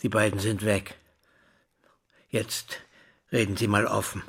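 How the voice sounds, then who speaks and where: A man's voice: fairly low pitch, little pitch movement, a little slow, fairly bright tone, breathy edge, exaggerated voice. An actor playing a troubled older school director in a drama, stern, insistent and formal as he questions. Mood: pressing. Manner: insistent, interrogating, formal address. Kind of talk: German stage-style radio play dialogue.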